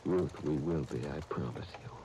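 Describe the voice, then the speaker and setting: An actor playing a grave, devoted doctor in love, with measured, earnest 1930s radio soap acting. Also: male voice, a bass voice. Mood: tender, earnest. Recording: noisy 1939 radio broadcast disc.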